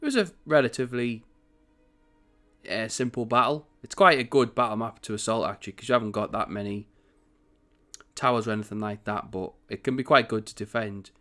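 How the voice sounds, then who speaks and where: Chatty man with a croaky voice. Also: medium pitch, fairly quick, a bit bright. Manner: chatty, rambling, self-correcting. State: croaky morning voice. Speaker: gaming channel host. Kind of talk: casual strategy game commentary.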